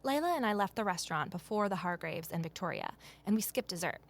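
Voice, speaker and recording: An earnest, inquisitive sleuth. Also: female voice, lowish voice, lively intonation, quick pace. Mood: composed narration. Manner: earnest, questioning. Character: earnest, inquisitive female amateur sleuth narrating her story. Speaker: lead character and narrator. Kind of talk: audio drama acting and first-person narration.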